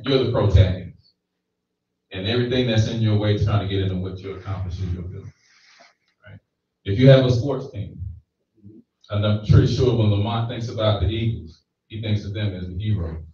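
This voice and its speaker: A man's voice: on the low side, warm tone, a little hiss or hum. Man, thirties, conversational preacher, handheld mic, very echoey hall, distant, dull sound. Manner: conversational preaching with frequent "right?" checks. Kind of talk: sermon. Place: small church hall with stage. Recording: echoey, distant, slightly dull room recording.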